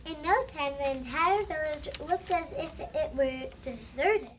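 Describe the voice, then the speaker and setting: Very young, high-pitched story narrator. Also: a little slow, fairly bright tone. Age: child. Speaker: student narrator. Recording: faint room noise.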